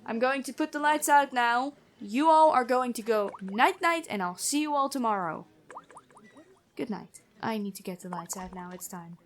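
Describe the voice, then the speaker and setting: Woman playing a gentle, high-voiced female prison guard. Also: animated, moderate pace, slight background noise. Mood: firm, commanding. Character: stern but gentle noble-born female prison guard, curious, bookish. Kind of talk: ASMR fantasy roleplay, addressing the listener.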